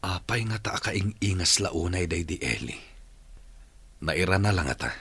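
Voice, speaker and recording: A man's voice: sits low, lively intonation, moderate pace, gravelly, fairly bright tone, slightly rough, heavily acted. A man acting in a radio drama as a curt, authoritative, well-off businessman and boss. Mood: pensive.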